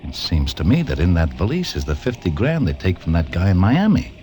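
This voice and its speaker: An actor playing a cool, lovesick small-time crook with stilted, formal street talk, in 1940s radio style. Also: male voice, deep voice, wildly swooping pitch, a little fast, warm tone, a little hiss or hum. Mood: cool scheming. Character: smooth-talking, lovesick small-time crook, coolly unflappable.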